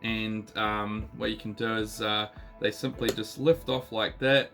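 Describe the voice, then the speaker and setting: A New Zealand man in his thirties talks in a lowish but bright, forward voice, still husky from a recent illness. He rambles casually with plenty of ums and uhs, and soft guitar music plays faintly behind him. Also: flat delivery, a little slow. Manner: chatty, lots of ums and uhs. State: husky, recovering voice. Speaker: card collecting channel host. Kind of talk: casual unboxing chat.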